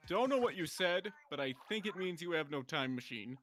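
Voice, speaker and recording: A man playing an excitable, confused, self-important video game hero in an amateur comedy read. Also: a little high, fairly quick. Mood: confused, indignant. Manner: excitable, questioning.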